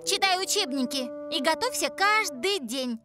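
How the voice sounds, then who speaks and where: A woman voicing a proud, curt, driven teenage girl firefighter in an animated series dub, with a haughty manner. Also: very high-pitched, normal speed. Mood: irritated. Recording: music behind the voice.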